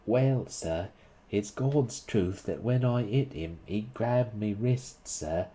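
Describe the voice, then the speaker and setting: A man's voice: fairly low pitch, takes it slowly, dark tone, pitched above the performer's own voice. A man voicing a blunt young Cockney servant who drops his aitches. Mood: uneasy. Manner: dropped aitches, rough working-class speech.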